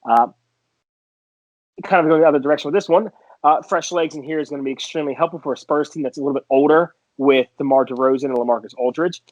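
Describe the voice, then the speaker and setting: Chatty man with a higher voice. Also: fast talker, no echo. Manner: chatty, animated, laughing banter. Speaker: podcast co-host, sports bettor. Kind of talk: casual sports betting chat. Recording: dry, dull lo-fi podcast audio.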